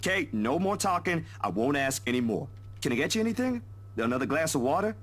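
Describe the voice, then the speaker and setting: Gentle, protective young man. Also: on the high side, big pitch swings, fairly quick, exaggerated voice. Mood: soothing, urgent. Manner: gentle, reassuring, earnest. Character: caring, protective young male friend. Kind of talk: visual novel game voice acting.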